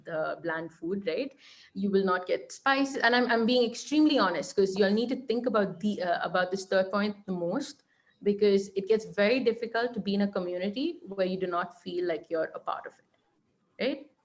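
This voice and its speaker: A young woman with an Indian accent answers interview questions at a steady mid pitch. She gives earnest, practical advice and keeps checking in with a quick "right?". She often restarts her phrases as she talks. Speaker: guest, law lecturer. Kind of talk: online interview conversation.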